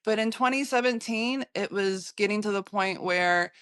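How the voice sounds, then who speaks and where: An American woman, a photographer, recounting her travels in an interview, halting often with fillers and restarts. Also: medium pitch, fairly bright tone, clean tone, dry recording, clean studio sound. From United States.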